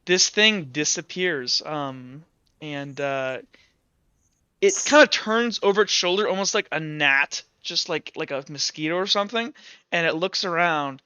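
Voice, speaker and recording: A man running a tabletop roleplaying game as game master, improvising dramatic narration haltingly and swearing casually. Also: on the high side, animated, bright, forceful tone, clear, resonant tone, dry recording, highs cut off.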